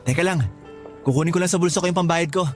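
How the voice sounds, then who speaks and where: An actor playing a friendly, polite young laborer in a radio drama, a little shy and apologetic. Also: male voice, fairly high pitch, fast talker, over music, close to an everyday voice.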